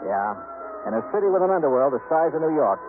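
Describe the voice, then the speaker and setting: A thin, band-limited old radio recording carries a confident freelance investigator. He talks fast with lively swings of pitch, wry and punchy in the manner of a 1950s detective serial hero. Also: phone-quality sound, music in the background. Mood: wry, brisk narrating. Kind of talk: 1950s radio drama acting, first-person detective narration.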